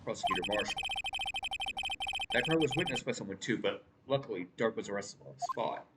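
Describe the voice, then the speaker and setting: A woman's voice: rumbling low, lively intonation, a little fast, heavy background noise. A woman streamer reading a visual novel game aloud in a live read-through. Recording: loud game music behind the voice.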